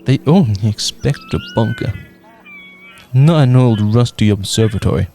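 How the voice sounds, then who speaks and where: Young man narrating over loud music. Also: mid-range voice, wildly swooping pitch, normal speed. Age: young adult. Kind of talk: scripted narration of a radio drama.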